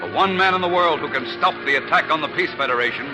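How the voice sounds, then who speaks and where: A man's voice: fairly high pitch, fast talker, natural voice, poor audio. A man narrating a 1940s radio serial in a grave, suspenseful, theatrical way. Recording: old radio broadcast under loud music.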